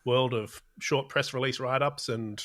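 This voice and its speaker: Co-host, male, medium pitch, casual bantering podcast chat. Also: steady tone, unhurried, a bit bright.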